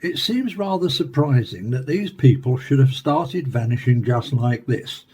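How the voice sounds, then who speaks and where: An actor playing a measured academic professor in a radio play, speaking formally and deliberately. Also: male voice, mid-range voice, lively intonation, a little mellow, husky. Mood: measured, speculative. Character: measured male Cambridge professor explaining alien attacks. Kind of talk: radio play acting as interviewed expert.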